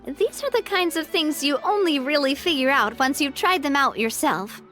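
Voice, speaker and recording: A woman playing a spirited young female leader in a video game, earnest and breezy, with expressive delivery. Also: high voice, moderate pace, faint music behind. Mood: sheepish.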